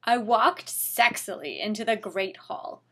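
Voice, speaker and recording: A woman's voice: medium pitch, unhurried, a bit bright. A woman voicing a melodramatic, gushing gothic teenage girl in a mock-dramatic fan fiction reading.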